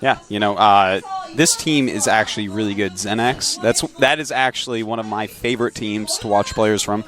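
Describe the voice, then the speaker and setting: A man's voice: on the low side, moderate pace, crowd noise. A man with a New Hampshire accent giving live color commentary on an esports match, chatty and enthusiastic, breaking down the players. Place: packed event studio.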